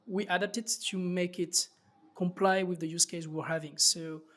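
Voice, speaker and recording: French guy on a podcast, kinda high voice, lots of likes and ums. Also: fairly even intonation, moderate pace, fairly bright tone. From France. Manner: loose, many fillers and restarts. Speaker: startup founder guest. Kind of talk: casual interview talk.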